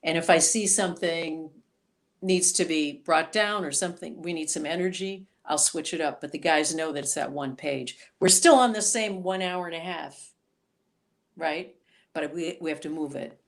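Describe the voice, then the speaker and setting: A woman singer-songwriter being interviewed on a podcast, talking in an animated, chatty way. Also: low voice, moderate pace. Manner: animated, chatty storyteller, voices quoted reactions.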